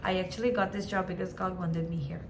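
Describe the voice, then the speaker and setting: A woman's voice: lowish voice, steady tone, quick pace, noisy background. A woman from Nagaland with a Naga accent, chatting casually about her teaching job and mixing in Hindi and other Indian languages. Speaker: teacher in an international school. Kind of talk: casual chat.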